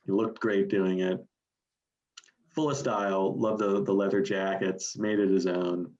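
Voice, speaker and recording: Low-voiced man on a dull recording. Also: fairly even intonation, unhurried, a little mellow. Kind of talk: casual chat. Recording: dull, lo-fi sound.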